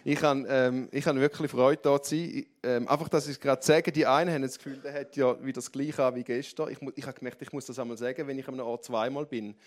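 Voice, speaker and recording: A man with a broad Graubünden Swiss German accent addresses a church audience from the stage. His voice sits at a middle pitch, and he talks in a candid, self-mocking way, often restarting his sentences. He also sounds openly moved. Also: steady tone, fast talker, few pauses. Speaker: church leader speaking on stage.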